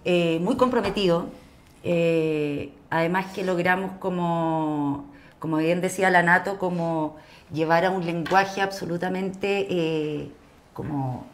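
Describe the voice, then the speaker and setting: Lowish-voiced Chilean woman, chatting haltingly. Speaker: actress, podcast guest. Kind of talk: casual conversational interview.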